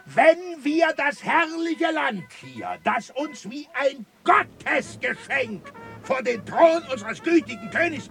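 Gruff, creaky, high-voiced commandant. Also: male voice, a bit bright, exaggerated voice. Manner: barking, mocking, interrogating. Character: gruff, sneering, authoritarian colonial military commandant. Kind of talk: radio drama acting.

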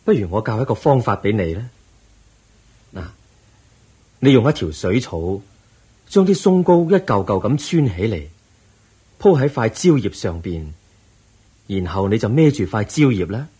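A wise, patient Buddha in a radio play. His low voice is very husky and moves through wide rises and falls. He teaches in a measured, gentle, preaching manner. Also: very animated, exaggerated voice. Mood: kindly, instructive. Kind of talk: Cantonese radio drama acting.

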